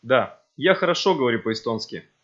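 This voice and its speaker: A man with a husky voice reading scripted textbook dialogues for a language course, speaking slowly and carefully. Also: medium pitch, lively intonation, a bit bright. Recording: dull lo-fi audio.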